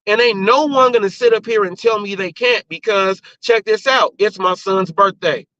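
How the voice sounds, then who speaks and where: A man's voice: high-pitched, normal speed, bright, forceful tone, no room sound. A man hosting a casual live talk show, speaking in an emphatic, colloquial way. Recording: dull, lo-fi stream audio.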